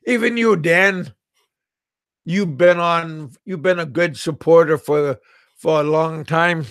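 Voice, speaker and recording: Male livestream host from Alaska, unhurried and halting, lots of restarts, dry close sound. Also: a little high, fairly bright tone, dry recording. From Alaska, USA. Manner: halting, repeats words and restarts often. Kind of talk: casual live-chat talk reading viewer comments.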